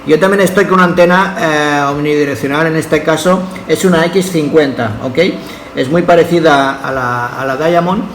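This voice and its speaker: A man with a slightly high voice talks casually as a radio amateur, giving call signs and checking in as he goes. His phrasing is hesitant. The sound is roomy and echoey, with faint noise. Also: normal speed. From Catalonia, Spain.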